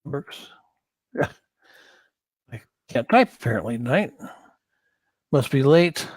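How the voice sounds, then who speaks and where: A man giving an informal screen-share tutorial as an instructor, thinking aloud in a halting way and correcting himself as he goes. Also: medium pitch, very animated, slightly rough, no echo. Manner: halting, hesitant delivery with self-corrections. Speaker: course instructor in church sound.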